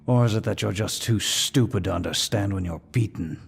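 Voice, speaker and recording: A man playing a menacing demon lord in an ASMR fantasy roleplay, with a deep, gravelly voice and a dominant, smug, taunting manner. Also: heavily acted. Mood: irritated, scornful. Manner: dominant, smug, slow and deliberate.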